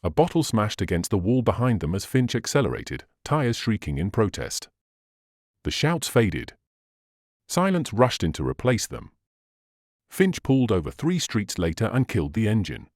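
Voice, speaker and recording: A man reads crime fiction as a dramatic audiobook narrator. His voice sits a little low and swings widely in pitch. The sound is close and dry. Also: big pitch swings, moderate pace, no room sound.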